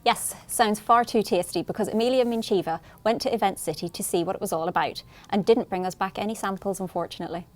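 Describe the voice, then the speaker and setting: A woman's voice: medium pitch, fast, few pauses, darkish tone. A young woman with an Irish accent presenting a student TV news bulletin, bantering lightly with her co-host in a studio.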